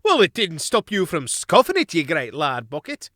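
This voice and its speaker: A man voicing a crew of cheeky young mouse sailors squabbling over a stew pot in a storybook, in high, rowdy voices. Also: wildly swooping pitch, normal speed, fairly bright tone, no echo, studio quality. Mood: bickering. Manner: squeaky, rowdy, working-class banter.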